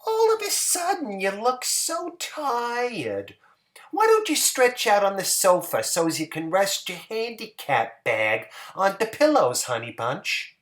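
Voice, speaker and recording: A man performs a simple, cheerful wife from a dramatic short story. The voice is lifted high and drawls along in a folksy, doting way, slow and swinging up and down. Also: huge pitch swings, fairly bright tone, heavily acted. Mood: doting concern.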